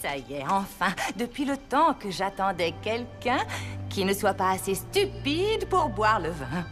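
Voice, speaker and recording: A woman voicing a mysterious, kindly commanding magical helper in a children's cartoon, brisk and assured. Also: mid-range voice, sing-song, fairly bright tone. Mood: relieved. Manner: assured, directive. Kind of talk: animated children's cartoon dubbing. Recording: music playing behind the voice.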